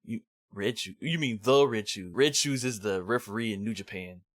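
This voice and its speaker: Low-voiced man hosting a manga review. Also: normal speed, a bit bright, dry recording, studio quality. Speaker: host of a manga review series. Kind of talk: semi-scripted review narration with casual asides.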